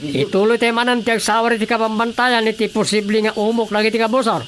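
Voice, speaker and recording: A man's voice: sits high, little pitch movement, fast, a bit bright, soft background music. A man with an Ilocano accent playing a resolute, authoritative army officer in a radio drama. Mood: firm, commanding. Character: resolute army officer giving orders to his men.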